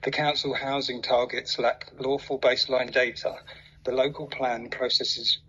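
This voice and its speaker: Man, formal public statement, emphatic, tense husky voice, muffled lo-fi sound. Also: mid-range voice, flat delivery, normal speed, sharp tone. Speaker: objector addressing a council planning committee. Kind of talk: formal prepared statement read aloud at a public meeting.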